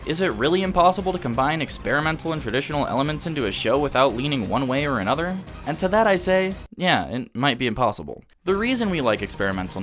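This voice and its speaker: A man's voice: a little high, a bit bright. A man in his early twenties narrating a scripted video essay as an opinionated, enthusiastic fan. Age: early 20s.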